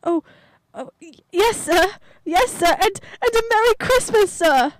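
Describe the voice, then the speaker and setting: A woman's voice: very high voice, expressive, measured pace. A woman playing an eager, polite young street girl in a radio play, chirpy and deferential. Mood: eager, cheerful. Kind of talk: old-time radio play acting.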